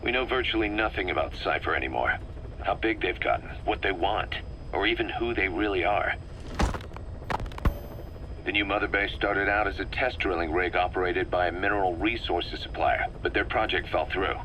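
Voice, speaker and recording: A driven, vengeful mercenary commander in a video game. His voice is low and hard-edged, and he lays out plans with emphatic confidence. There is a faint noise behind him. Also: normal speed, bright, forceful tone, rough recording. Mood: businesslike. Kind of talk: dramatic video game dialogue, explanatory briefing.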